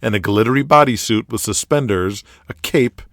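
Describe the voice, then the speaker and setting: A man's voice: mid-range voice, moderate pace, fairly bright tone, no room sound, clean studio sound. A man narrating an audio drama in a dramatic, scripted storytelling style.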